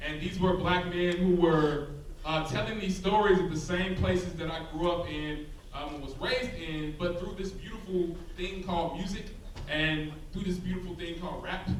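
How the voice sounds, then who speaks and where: A man's voice: high-pitched, steady tone, moderate pace. Laid-back young man in echoey hall. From South Side of Chicago. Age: young adult. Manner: laid-back, rambling, many "like" and "um" fillers. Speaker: rapper and guest speaker. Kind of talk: casual talk to a student audience. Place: large hall with a live audience. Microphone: handheld microphone. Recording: echoey hall, distant mic, crowd noise.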